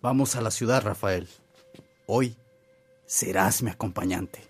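A man playing a middle-aged, authoritative father and landowner in a radio drama, his voice slightly husky. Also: lowish voice, a little slow, soft background music. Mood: calm. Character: stern but charitable Mexican father, landowner. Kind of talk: Spanish-language radio drama acting.

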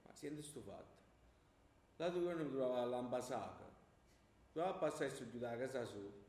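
A man's voice: mid-range voice, lively intonation, moderate pace, darkish tone, close to an everyday voice. A man as a brash southern Italian pupil, echoey. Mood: chatty, boastful. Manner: colloquial, rambling, pushy. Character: brash, slangy male pupil playing Dionysus, shamelessly flirty. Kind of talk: comic dialect stage acting. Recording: echoey room, faint background noise.

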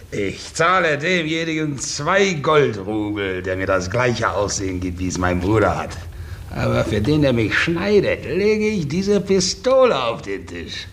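A vain, blustering aristocrat in a 1950s radio drama. His mid-pitched voice leaps up and down with theatrical boasting and mocking contempt, the talk of a stingy Russian nobleman used to being obeyed. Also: a bit bright. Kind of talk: 1950s German radio play acting.

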